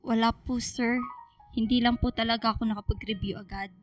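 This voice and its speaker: A woman's voice: fairly high pitch, steady tone, brisk, soft background music, natural voice. A woman playing an anxious, testy teenage schoolgirl in a Filipino radio drama. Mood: meek, nervous.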